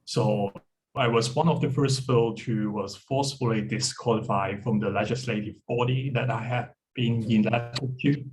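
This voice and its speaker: A man's voice: on the low side, rough recording. Earnest man from Hong Kong. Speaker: panelist. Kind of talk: prepared talk with slides.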